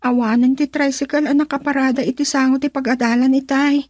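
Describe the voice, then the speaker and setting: A woman's voice: high voice, steady tone, a little fast, darkish tone, dry recording, lightly acted. An actress playing a polite, troubled young female student in an Ilocano radio drama, with a Filipino accent. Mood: worried, polite.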